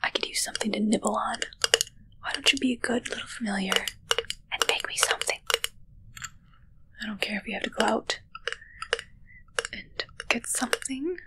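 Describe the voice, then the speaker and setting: A woman playing a possessive, commanding witch in a whispered ASMR roleplay, softly taunting and close to the microphone. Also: on the high side, moderate pace, pauses often, close to an everyday voice. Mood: imperious, demanding. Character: possessive, commanding young female witch addressing her familiar.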